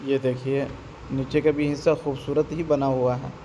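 A man's voice: mid-range voice, little pitch movement, normal speed, low-quality recording. A young man filming a casual walking vlog on a phone held at arm's length, pointing things out to his viewers as he moves through a mall and onto a metro. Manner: talks to viewers while walking, repeats "ye dekhiye". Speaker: vlogger. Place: large shopping mall, indoors, then street and metro. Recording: loud background noise from mall and traffic.

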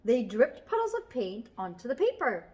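A woman's voice: a little high, big pitch swings, normal speed, a little mellow. A woman in middle adulthood reading a picture book to children expressively, with dramatic emphasis and prompting questions. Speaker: children's storyteller with a puppet. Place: small furnished indoor room.

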